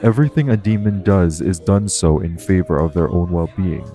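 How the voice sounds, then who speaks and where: A calm guardian angel, acted by a man for an audio roleplay, speaks in a very low, mellow voice. The delivery is formal and measured, explaining things earnestly and protectively to the listener. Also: soft background music. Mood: earnest, cautioning.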